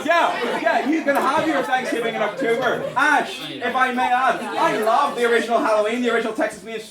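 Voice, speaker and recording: A man's voice: very high-pitched, fairly quick. A man gives a humorous mock-formal debate speech in a theatrical, pompous, repetitive manner. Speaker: debater at a student society debate. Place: indoor bar at a society dinner. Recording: echoey room, lively crowd around. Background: laughing, heckling crowd.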